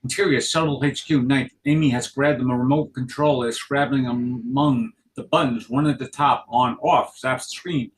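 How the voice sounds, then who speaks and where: A man's voice: mid-range voice, steady tone, moderate pace, few pauses, slight background noise, poor audio. A man reading stage directions as dramatic, intense script narration.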